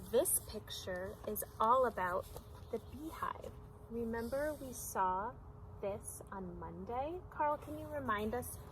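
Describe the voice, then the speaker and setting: A woman's voice: mid-range voice, noisy background. A woman teacher reading a picture book aloud to young children, speaking simply and putting prompting questions.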